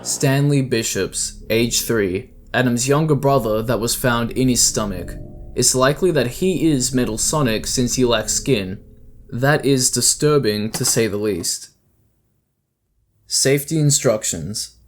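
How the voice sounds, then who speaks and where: A man's voice: mid-range voice, a little slow, faint music behind. A man giving scripted, explanatory narration as the host of a video essay.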